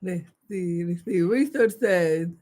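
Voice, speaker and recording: Calm older woman reading comments. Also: fairly low pitch, slow pace. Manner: calm, reads viewer comments aloud. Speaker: livestream co-host. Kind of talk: casual chat.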